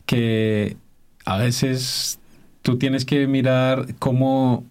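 A man with a middle-pitched voice, speaking as a dance teacher in a radio interview. He talks thoughtfully and reaches for words with many small pauses. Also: steady tone, a little mellow, clean tone. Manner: thoughtful, hesitant, searches for words. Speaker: dance instructor guest. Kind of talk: interview answers.

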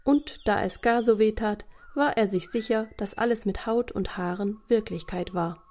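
A female narrator tells a children's story from a script. Her voice is warm and mellow at a middle pitch, and her storytelling is expressive and deliberate.

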